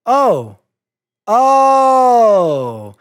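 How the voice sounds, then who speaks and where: Performer putting on a high, excitable bookish girl pony. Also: drawn-out words, clean tone. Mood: startled. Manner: stammering, incredulous, squealing outbursts. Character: excitable, anxious, bookish female cartoon pony.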